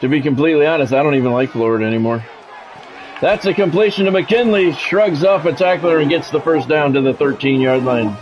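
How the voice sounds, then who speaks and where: A man's voice: on the high side, a little fast, a little hiss or hum, poor audio. A middle-aged American man with a blunt, grumbling manner, switching between casual profane chat and sports play-by-play on a livestream. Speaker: game streamer and sports play-by-play announcer.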